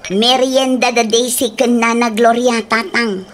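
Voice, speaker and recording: A woman playing a high-pitched, bossy farm boss. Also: steady tone, unhurried, slight background noise, natural voice. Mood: impatient. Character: brisk, tight-fisted woman farm boss, the old man's daughter. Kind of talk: comedy radio drama acting.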